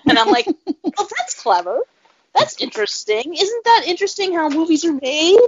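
A woman's voice: very high voice, sing-song, normal speed, muffled recording. A woman co-hosting a casual podcast, rambling and amused as she recalls film trivia. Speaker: podcast co-host. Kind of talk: casual chat.

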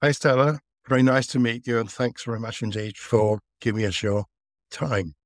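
A man's voice: medium pitch, fairly even intonation, moderate pace, no echo. A man co-hosting a podcast, giving the show opening and asking a guest polite, courteous questions. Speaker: podcast co-host. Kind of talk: podcast intro and interviewing. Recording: dry voice with faint music behind.

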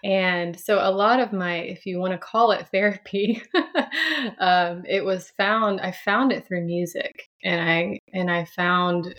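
A woman's voice: fairly low pitch, takes it slowly. A woman, telling her story thoughtfully as a podcast guest. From Franklin, Tennessee, USA. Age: 30s. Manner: reflective, many restarts and soft laughs. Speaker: podcast guest, sound healer. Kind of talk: casual interview chat.

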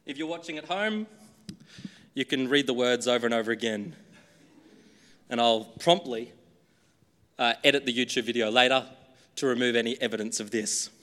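A man's voice: medium pitch, moderate pace, long pauses, a bit bright, big-room sound. A man, a pastor, talking casually and with self-deprecating humor to his congregation in a church before leading a sung creed. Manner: chatty, self-deprecating, joking about his own singing. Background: acoustic guitar playing.

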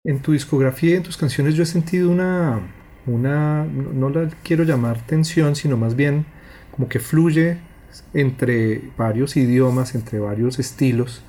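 Mellow-voiced male interviewer. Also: mid-range voice, a little slow. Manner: long, winding questions. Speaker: interviewer and host. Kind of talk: interview questions.

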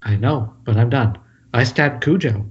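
A man plays a washed-up, hard-drinking writer in a screenplay table read. His mid-pitched voice comes over a dull, lo-fi internet call. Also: measured pace, very noisy, natural voice. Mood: pleading, earnest. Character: troubled male writer, washed-up drinker pleading with his wife.

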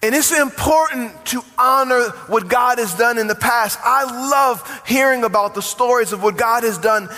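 A forty-year-old man preaching in a high, bright voice, his words building in emphasis and calling out to the congregation. His sermon rings through a church sanctuary with a long hall reverb trailing behind it. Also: moderate pace, few pauses, faint music behind. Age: 40s. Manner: preaching with rising emphasis and call-and-response. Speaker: pastor.

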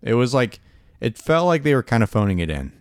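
A man's voice: mid-range voice, very animated, a little fast, clear, resonant tone, no room sound, studio quality. A man chatting casually as a podcast co-host, hesitant and full of restarts, laughing easily. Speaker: podcast co-host reviewing an album. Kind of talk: casual chat.

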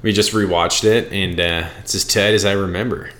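A man's voice: sits low, fairly even intonation, normal speed, a bit bright. An American man from Boston chatting casually as a film podcast co-host, blunt, profane and opinionated. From Boston, United States. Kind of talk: casual chat.

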